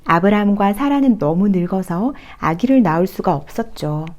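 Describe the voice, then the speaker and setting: A female storyteller with a soft-toned, mellow voice at a medium pitch. She reads children's Bible stories gently, explaining things as if to little ones. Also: lively intonation, moderate pace.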